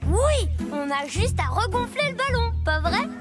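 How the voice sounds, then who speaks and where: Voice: very high voice, big pitch swings, a little slow, exaggerated voice. An actor voicing an eager, curious little kid truck in a children's cartoon, exclamatory and childlike. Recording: music behind the voice.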